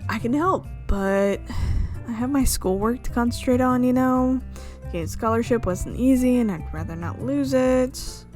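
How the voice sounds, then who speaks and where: A woman's voice: fairly high pitch, normal speed, darkish tone, soft background music, natural voice. A woman voicing a reserved young female college student in a visual novel, hesitant and dry. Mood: apologetic.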